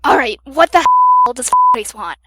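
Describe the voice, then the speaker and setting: A woman's voice: sits high, wildly swooping pitch, unhurried, pushed and bright, slight background noise, exaggerated voice. A woman voicing a brash, bloodthirsty teenage boy killer, blunt and aggressive. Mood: irritated.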